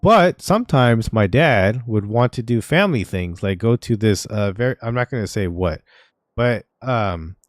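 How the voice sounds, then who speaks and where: A man's voice: lowish voice, animated, moderate pace, dry recording, clean studio sound. A young man streaming a game, telling stories in a loose, rambling way full of "like" and "uh". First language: Vietnamese. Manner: rambling, filler-heavy storytelling. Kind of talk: casual gaming stream chat. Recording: faint game music behind.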